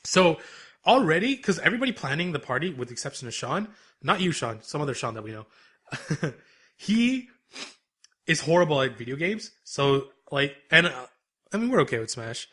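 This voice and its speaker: Young Canadian male podcast co-host, bright tone, easygoing and rambling. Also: on the high side, sing-song, moderate pace, husky. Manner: laid-back, rambling, laughs often. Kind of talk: casual podcast chat.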